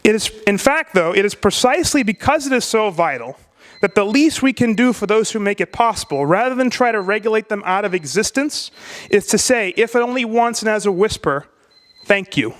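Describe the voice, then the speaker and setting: A man delivering a prepared debate speech, emphatic and rhetorical, with deliberate pauses. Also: high-pitched, lively intonation.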